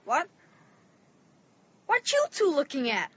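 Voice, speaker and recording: An eager, impulsive boy in a radio drama. The voice is very high, bright and swoopy, full of excited exclamation, over music in a dull recording. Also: slow, no echo, exaggerated voice. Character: eager, impulsive thirteen-year-old boy. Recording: muffled audio with music.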